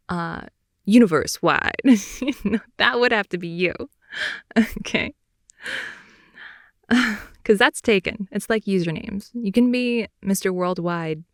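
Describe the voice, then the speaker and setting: Slow, creaky young woman rambling playfully. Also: medium pitch, dry recording, studio quality. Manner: playful, rambling, slangy, drifting between soft whispers and animated speech. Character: wide-awake chatty girlfriend, silly and playful. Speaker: ASMR roleplay voice performer. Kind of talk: improvised intimate ASMR girlfriend roleplay, casual rambling. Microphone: close microphone, dry sound.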